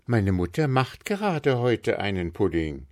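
A man's voice: fairly low pitch, very animated, a little slow, a little mellow. A man voicing a cheeky, clever little boy in a storybook reading, eager and wheedling.

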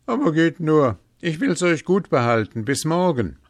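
Man acting a brash, high-pitched village lad. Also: lively intonation, unhurried, no room sound, clean studio sound. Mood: cocky, bossy. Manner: shouting, blustering, rustic. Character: brash, fearless young village lad, boisterous and scolding. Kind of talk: fairy-tale storybook character voice.

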